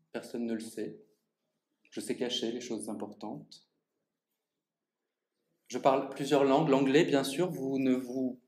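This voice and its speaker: A man reading his own first-person monologue aloud at a public reading in an art gallery, speaking to the audience in a measured, theatrical way. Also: medium pitch, dark tone. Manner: measured, theatrical delivery addressing the audience directly. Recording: echoey gallery room.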